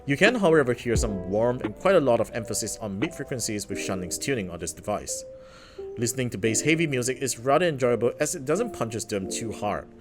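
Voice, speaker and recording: A man talks through a gadget's features in an upbeat, casual review style at a mid-range pitch. Clear background music plays under his voice the whole time. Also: very animated, brisk. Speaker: tech reviewer.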